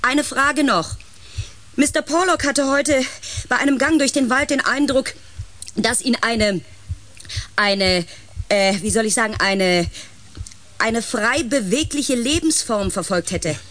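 A calm base camp crew member in a science fiction radio drama. The voice is medium in pitch, steady and matter-of-fact, both in dialogue and in storytelling. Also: slow, long pauses. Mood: raised, hesitant. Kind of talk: radio play acting and narration.

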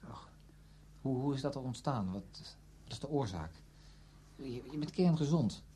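An actor playing an earnest, careful friend and narrator in a Dutch radio play. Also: male voice, mid-range voice, animated, unhurried, dark tone, slight background noise, close to an everyday voice. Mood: concerned, probing. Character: earnest male friend, probing, narrating his discovery.

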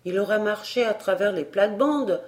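A woman's voice: medium pitch, moderate pace, a little mellow, pitched above the performer's own voice, close to an everyday voice. A woman voicing a curious, sensible young girl in a storybook, with an earnest, reasoning manner.

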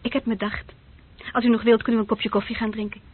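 A woman's voice: on the high side, little pitch movement, quick pace, husky. An actress playing a candid, self-possessed female student of nineteen in a radio drama. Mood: friendly, direct. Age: young adult. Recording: narrow-band old radio play recording, very dry.

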